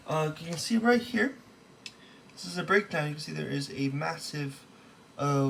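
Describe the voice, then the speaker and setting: Young male student presenter, slightly high and gravelly, casual and halting, faint room hiss. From Los Angeles, California. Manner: casual, halting with many fillers and restarts. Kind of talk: recorded class presentation.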